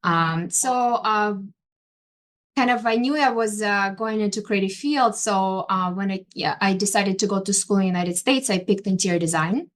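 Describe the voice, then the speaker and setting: A woman's voice: mid-range voice, moderate pace. Hesitant woman from Ukraine, filler-heavy. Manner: hesitant, many fillers and restarts. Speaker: interior designer and artist. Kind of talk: conversational interview.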